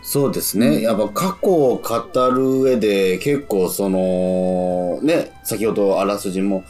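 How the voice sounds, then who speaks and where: A man's voice: lowish voice, a little slow, a little mellow, faint music behind. A man hosting a radio talk show, politely interviewing guests and often chiming in as he listens. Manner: polite, frequent listener responses.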